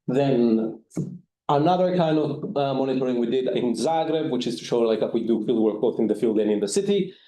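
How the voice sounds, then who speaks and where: A man presents his volunteer work in an unhurried, halting way, with plenty of fillers. His voice is mellow and sits at a middling pitch. The room around him is echoey. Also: lively intonation. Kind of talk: presentation talk.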